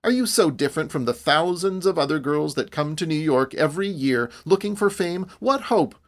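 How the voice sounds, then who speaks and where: Narrator as hot-blooded young man, forceful, emphatic, raised pitch, novel character, male. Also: very animated, unhurried. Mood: bitter, savage.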